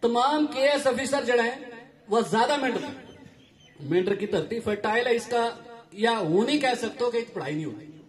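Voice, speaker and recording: A man's voice: very high-pitched, expressive, moderate pace. A man speaking in Hindi with a Pahari-Gojri accent, addressing a community meeting earnestly and explaining things as an education official. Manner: earnest, explanatory, switching local dialect and Hindi. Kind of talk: public speech.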